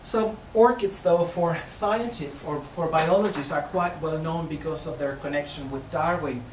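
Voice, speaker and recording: A man's voice: a little high, normal speed. Chilean man in his thirties, hesitant researcher giving a public talk, recorded from afar in an echoey, noisy room. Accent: Chilean Spanish. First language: Spanish. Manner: hesitant, many fillers and restarts. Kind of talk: public lecture. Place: indoor venue near a greenhouse. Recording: distant roomy recording with noise.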